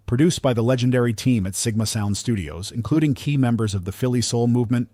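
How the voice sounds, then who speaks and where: Low-voiced man narrating, close and dry. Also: a little mellow, no room sound. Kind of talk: scripted countdown narration.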